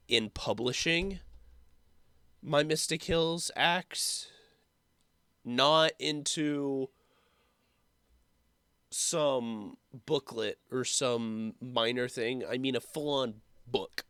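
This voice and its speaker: A young man with a sick, slightly hoarse throat talks slowly and haltingly, stopping often to restart his thoughts. His voice is bright and clear, and the recording is dry and close. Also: medium pitch, no room sound. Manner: rambling, halting, with many fillers and restarts. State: sick, throat sore from coughing. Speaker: YouTuber. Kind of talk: casual vlog update.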